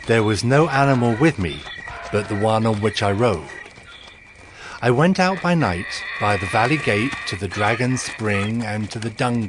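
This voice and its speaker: A devout, fervent servant of an ancient court acted by a man speaks in a mid-range voice. His delivery is solemn and reverent, in the manner of dramatized scripture, with music clearly playing behind him. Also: mid-range voice, natural voice. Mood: measured, grave. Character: Nehemiah, devout earnest cupbearer to an ancient king. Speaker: narrating lead in dramatized scripture. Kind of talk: dramatized audio Bible reading.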